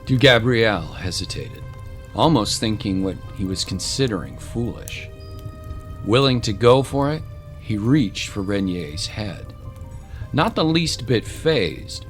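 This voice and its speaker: A man's voice: on the low side, sing-song, takes it slowly, soft background music. A man narrating a scripted dramatic historical story as its narrator.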